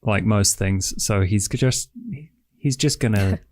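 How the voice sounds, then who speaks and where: A man's voice: on the low side, slow, a little mellow, no room sound, clean studio sound. A man playing in a tabletop game, chatting casually in a laconic, hesitant way. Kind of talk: casual, improvised roleplay chat.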